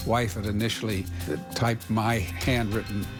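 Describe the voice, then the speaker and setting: A man's voice: fairly low pitch, level tone, a little slow, over music. A man recalling past events in an interview, in a plain, matter-of-fact way. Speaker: documentary interviewee. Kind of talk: recollection in an interview.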